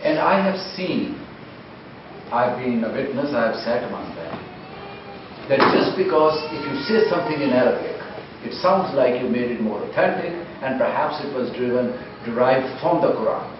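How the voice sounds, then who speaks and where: A man's voice: medium pitch, animated, normal speed. A man making his point in a heated discussion with earnest insistence, English not his first language. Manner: earnest, insistent, keeps cutting back in. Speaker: discussion participant. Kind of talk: heated debate. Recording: dull echoey recording from far off, faint background noise.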